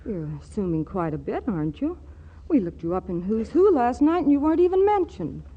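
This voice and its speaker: An actress playing a poised, skeptical governess, expressive and questioning, in a 1940s radio comedy. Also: female voice, a little high, a little fast. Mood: poised, curious. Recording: muffled 1940s radio recording.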